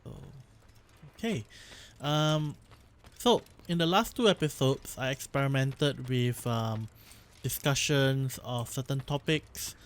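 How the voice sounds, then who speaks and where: A man's voice: mid-range voice, slow pace, clear, resonant tone. Chatty young man rambling. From Singapore. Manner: rambling, self-correcting, chatty. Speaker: let's play host. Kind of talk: casual gameplay commentary.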